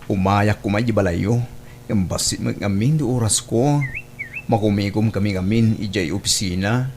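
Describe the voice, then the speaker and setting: A man's voice: fairly low pitch, normal speed, darkish tone, a little hiss or hum, close to an everyday voice. A man playing a friendly, candid office colleague in an audio drama, conversational and matter-of-fact. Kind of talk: Ilocano audio drama acting.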